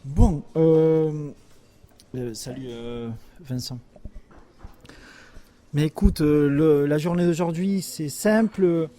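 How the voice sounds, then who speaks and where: A man explains things conversationally as one of the organisers of a radio programme. His tone is soft and relaxed, and his pitch is a little above average for a man. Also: takes it slowly, long pauses.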